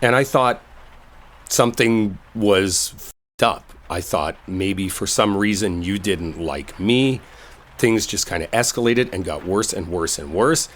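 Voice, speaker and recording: A man's voice: fairly low pitch, a little hiss or hum, natural voice. A man playing an exasperated, defensive coworker who is secretly smitten, in an emotional roleplay audio drama. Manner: emotional, pleading, emphatic, conversational. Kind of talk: roleplay audio drama, intimate one-sided dialogue.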